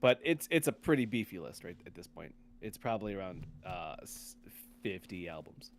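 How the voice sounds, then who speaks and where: A man hosting a podcast in casual chat, often repeating and rephrasing himself in a halting way. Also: low-pitched, very animated, a little hiss or hum. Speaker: podcast host.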